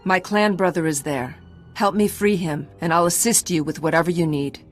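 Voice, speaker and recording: Woman acting as hard female clan warrior, lowish voice, curt, commanding, grim, video game dialogue over music. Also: moderate pace, close to an everyday voice. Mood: terse, commanding.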